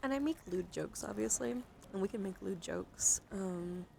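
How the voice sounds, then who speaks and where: Creaky-voiced woman chatting on a noisy livestream. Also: medium pitch, animated, normal speed, a little mellow. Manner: informal, slangy, chatty. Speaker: livestream host, ASMR streamer. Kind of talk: casual livestream chat to viewers.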